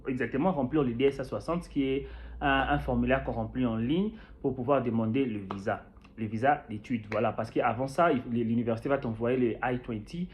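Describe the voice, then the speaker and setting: A man's voice: mid-range voice, brisk, a little mellow, a little hiss or hum. A young man from Kinshasa with a Congolese French accent, chatting to camera about his experience, with many fillers and restarts. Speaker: YouTuber sharing experience. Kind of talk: casual talk to camera. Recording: dull lo-fi sound.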